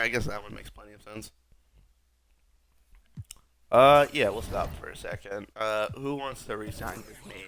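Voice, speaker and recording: A young man with a raspy voice, sick, rambling wryly through casual gameplay commentary as a streamer. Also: fairly low pitch, fairly bright tone, a little hiss or hum. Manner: rambling, wry, self-mocking. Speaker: gaming streamer.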